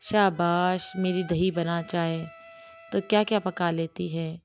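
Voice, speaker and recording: A woman's voice: on the low side, steady tone, slow, faint music behind. A woman voicing a kindly older uncle in an audio story, with a coaxing, avuncular manner.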